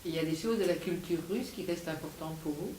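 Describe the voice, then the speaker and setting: A woman interviewer asking brisk follow-up questions, heard away from the microphone in an echoey room. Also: fairly low pitch, dark tone, slight background noise.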